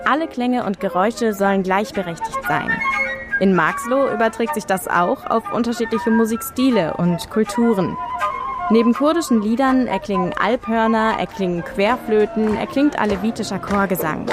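A woman's voice: mid-range voice, moderate pace. A woman reporter reading a scripted radio feature narration. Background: music.

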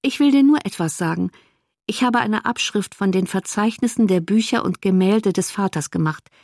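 A woman voicing a kindly, sensible younger sister with a gentle, composed, reasoning manner in an audiobook. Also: medium pitch, normal speed, no room sound, clean studio sound, close to an everyday voice. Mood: patient reasoning. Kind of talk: audiobook character dialogue.

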